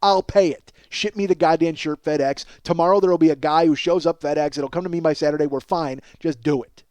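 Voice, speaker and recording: A man hosting a podcast, telling a casual, profane comic story in an animated, exaggerating way and acting out both sides of conversations. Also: fairly high pitch, fairly quick, clear, resonant tone, no room sound. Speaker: podcast host.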